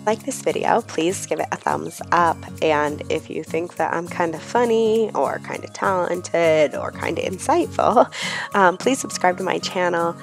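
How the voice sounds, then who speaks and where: Hoarse woman chatting over soft music. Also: fairly low pitch, expressive, unhurried. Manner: chatty, rambling with many ums. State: hoarse from a cold. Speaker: planner vlogger. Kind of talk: casual voice-over chat.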